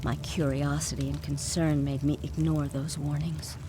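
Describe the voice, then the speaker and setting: An actress playing a tormented, remorseful spirit guide in a video game, speaking in a formal, measured, solemn way. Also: female voice, sits low, little pitch movement, background noise, lightly acted. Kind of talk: dramatic video game voice acting. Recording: loud music behind. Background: game score music.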